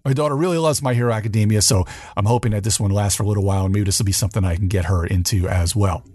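Homem de voz seca, apresentador de podcast. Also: male voice, lowish voice, rapid-fire, darkish tone, slightly rough, dry recording, clean studio sound. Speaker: podcast host. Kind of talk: casual board game news rundown.

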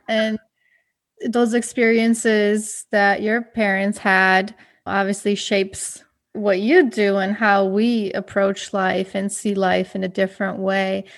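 A woman from Kosovo hosts the conversation, asking questions and offering long, reflective remarks in between. Her voice sits at an ordinary middle pitch. She sounds engaged and conversational throughout. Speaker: interviewer. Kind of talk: conversational interview.

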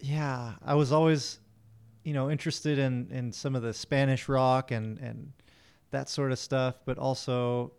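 A man's voice: mid-range voice, fairly even intonation, gravelly, a little mellow, smooth. A man from Miami, a musician, talking in an easygoing, friendly way in an interview. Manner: easygoing, friendly, slightly hurried. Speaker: guest musician. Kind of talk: casual interview.

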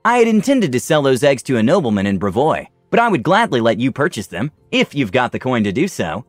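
A man voicing a polite, flattering traveling merchant in his late thirties in a told fantasy story. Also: medium pitch, a little fast, no room sound, faint music behind. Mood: pleased.

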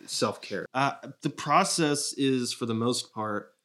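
A man's voice: mid-range voice, slow, bright, forceful tone. A man chatting casually as a guest in a comedy interview, rambling and joking as he corrects himself. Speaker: comedy podcast guest, sketch writer.

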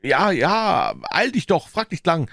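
A man voicing an anxious, excitable young boy who speaks in urgent exclamations in a storybook. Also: lowish voice, fairly quick, no echo, studio quality. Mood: frantic.

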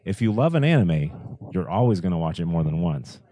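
A man co-hosts a casual interview in a low, mellow voice. He speaks earnestly and often trips over and repeats his words as he works toward his point. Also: very animated, brisk. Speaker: podcast co-host.

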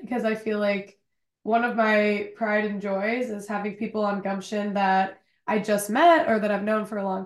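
A young woman hosting a podcast, talking in a chatty, upbeat way with quick asides. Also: medium pitch. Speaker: podcast host. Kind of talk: casual podcast interview. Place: home in a cold city.

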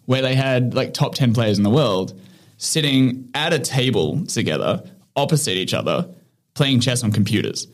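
Brief: male interview guest, mid-pitched, thoughtful, searching for words, laughs easily. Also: lively intonation, moderate pace. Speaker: guest, founder of an online chess training platform. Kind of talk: casual conversational interview.

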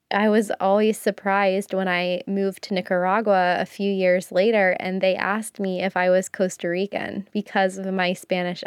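A woman with a smooth, resonant voice explains how to learn a language, speaking earnestly and stacking her points through repetition. Her voice sits at a middle pitch. The recording is close and dry, with no room sound. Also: no echo. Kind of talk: casual advice talk.

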